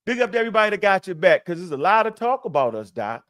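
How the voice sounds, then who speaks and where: A man with a high voice co-hosts a live online talk, animated and full of street slang. He keeps tossing in asides to the people he is talking with. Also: racing. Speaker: co-host. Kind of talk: casual live-stream chat.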